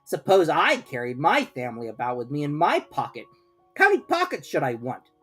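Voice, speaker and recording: A woman's voice: deep voice, wildly swooping pitch, a little fast, fairly bright tone, breathy edge, soft background music, pitched above the performer's own voice, full character voice. A woman voicing a bossy, self-important male rabbit who lectures emphatically and pompously in a storybook reading. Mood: indignant, lecturing.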